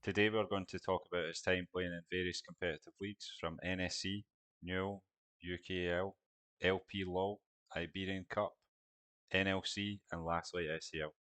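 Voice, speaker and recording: Bright deep host. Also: male voice, level tone, moderate pace, clean tone, no echo. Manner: chatty, rambling questions. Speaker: interview host. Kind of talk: casual interview.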